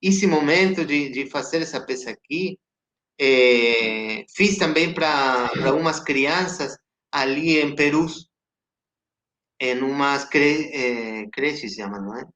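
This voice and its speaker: A Chilean man, a native Spanish speaker with a Chilean Spanish accent, talking reflectively as a guest actor on a video call, halting and restarting often. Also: mid-range voice, fairly bright tone. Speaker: guest actor and theater director. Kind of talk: casual interview chat. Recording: roomy echo.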